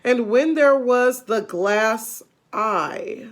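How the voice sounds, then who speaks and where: A woman reading a children's story aloud expressively, pausing to explain things simply. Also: fairly high pitch.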